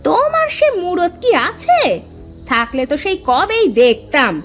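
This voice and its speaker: A woman acts out a poor farmhand's sharp-tongued wife in a village cartoon. The voice is piercingly high and theatrical, leaping around in pitch. Faint music runs underneath. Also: huge pitch swings, unhurried, heavily acted. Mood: scornful, nagging. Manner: scolding, theatrical. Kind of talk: Bengali cartoon voice acting.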